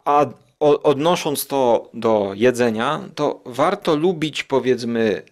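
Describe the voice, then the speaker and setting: A man hosting a podcast in a casual, digressive monologue, musing aloud with hesitant pauses and tag questions. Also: medium pitch. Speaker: podcast host.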